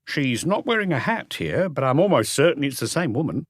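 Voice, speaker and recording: A man's voice: fairly high pitch, big pitch swings, a little fast, dry recording, clean studio sound, pitched above the performer's own voice. A man voicing a cautious, obliging male café waiter in an audiobook mystery. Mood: careful, insistent.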